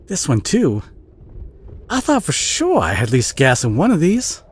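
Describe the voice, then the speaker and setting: A man with a Southern US accent playing a kind, protective, bashful country boy in an audio roleplay, speaking in a gentle, folksy, hesitant way with a husky voice. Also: a little high, wildly swooping pitch, moderate pace, exaggerated voice. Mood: easygoing. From southern United States. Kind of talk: audio roleplay acting, addressed to the listener.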